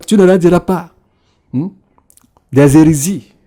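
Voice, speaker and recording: A man's voice: fairly high pitch, a little slow, warm tone. A man, repeating phrases and punctuating them with hallelujah. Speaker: preacher. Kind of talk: sermon.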